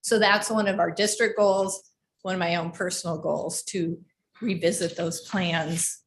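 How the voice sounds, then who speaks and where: A woman's voice: lowish voice, fairly even intonation, unhurried. A woman speaking as a school district administrator, explaining things at a public board meeting. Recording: clearly noisy meeting livestream with clicks.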